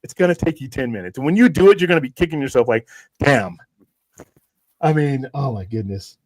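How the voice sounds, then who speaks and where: A man's voice: medium pitch, expressive, brisk, a little mellow, no room sound, rough recording. A man co-hosting a live stream, ribbing his partner in incredulous, joking banter. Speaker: co-host. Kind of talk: casual live stream chat.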